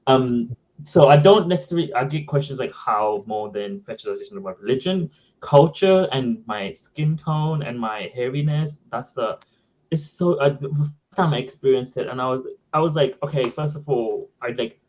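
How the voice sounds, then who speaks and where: A man whose first language is Sindhi, telling stories in casual chat with an exasperated, animated manner. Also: mid-range voice, moderate pace. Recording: dull lo-fi sound.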